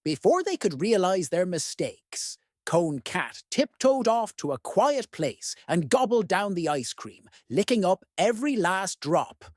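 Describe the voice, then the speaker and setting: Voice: medium pitch, sing-song, measured pace, hardly any pauses, a little mellow, no room sound, studio quality. A storyteller reading a children's picture book aloud expressively, addressing young listeners. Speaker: read-aloud host.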